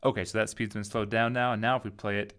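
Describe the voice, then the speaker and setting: A man giving a casual software tutorial, informally thinking aloud as he goes. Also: fairly low pitch, level tone, fast. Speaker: tutorial host.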